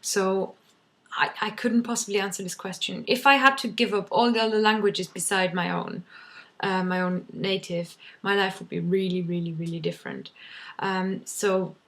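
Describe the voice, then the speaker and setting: A woman's voice: mid-range voice, fairly even intonation, moderate pace, a bit bright. A young woman whose first language is German, talking casually to camera in a rambling way, full of ums and restarts. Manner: chatty, many ums and restarts. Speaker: vlogger.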